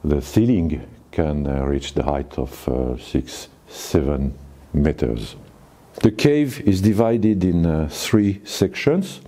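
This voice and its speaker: A man in his sixties with a French accent, a cave owner giving a guided tour to camera, talking slowly and haltingly with many fillers. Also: extremely low pitch, lively intonation, warm tone. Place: forest outdoors, then inside a small cave.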